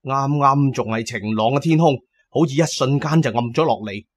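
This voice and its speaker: A man's voice: mid-range voice, moderate pace. A man narrating a first-person adventure story as a dramatic audiobook storyteller.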